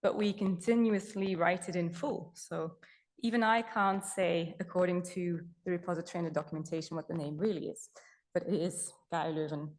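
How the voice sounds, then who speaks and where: A woman's voice: fairly low pitch, steady tone, normal speed, darkish tone. A young woman from Belgium, a native Dutch speaker, presenting her work as a repository manager in a chatty, self-correcting way with frequent fillers. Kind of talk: conference presentation.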